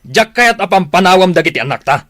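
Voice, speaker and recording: Radio drama husband: Ilocano accent, controlling and bossy, very swingy intonation, dry studio sound. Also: male voice, high voice, animated, quick pace, a bit bright, dry recording. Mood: angry, commanding. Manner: bossy, insistent. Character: gruff, controlling, stingy husband and father.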